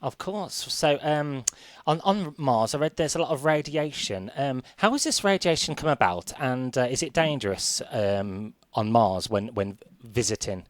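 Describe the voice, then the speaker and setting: A man's voice: medium pitch, animated, dry recording. Male interviewer with swooping intonation. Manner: hesitant, halting phrasing. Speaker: radio presenter, interviewer. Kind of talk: interview questions.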